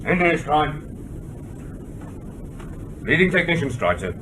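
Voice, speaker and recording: An actor playing a flustered, obedient master at arms in a radio drama, hesitant and deferential. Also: male voice, on the high side, very animated, slow, sharp tone, slight background noise, exaggerated voice, rough recording. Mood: dutiful. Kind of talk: broadcast radio drama acting.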